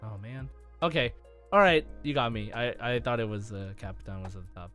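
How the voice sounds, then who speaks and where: A man's voice: fairly low pitch, brisk, bright, forceful tone. A man co-hosting a casual gaming podcast and presenting its quiz segments in a chatty style. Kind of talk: casual game quiz chat. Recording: music playing behind.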